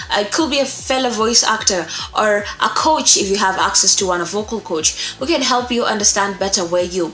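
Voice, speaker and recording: A woman's voice: medium pitch, fairly bright tone. A young woman giving a casual, encouraging tutorial to camera, repeating key points for emphasis. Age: young adult. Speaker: voice acting instructor. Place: small indoor room. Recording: echoey room, faint music behind.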